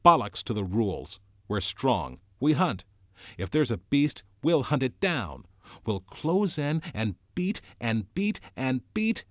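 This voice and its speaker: A man plays a storybook boy bully, contemptuous and aggressive. He sneers and shouts with big swings in pitch, raised above normal speech, very dry and close. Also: sing-song, moderate pace, no room sound. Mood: wild defiance.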